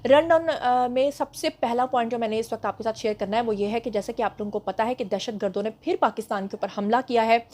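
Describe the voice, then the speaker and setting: A young Pakistani woman with a Pakistani Urdu accent hosting a casual news roundup for her channel, talking slowly and carefully because of a sore throat. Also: on the high side. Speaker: news show host.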